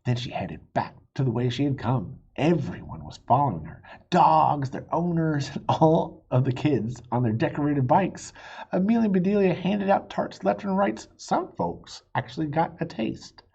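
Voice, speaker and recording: A man reading a children's story aloud in a playful, expressive way, with a husky voice. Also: on the high side, normal speed, muffled recording. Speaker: storybook reader.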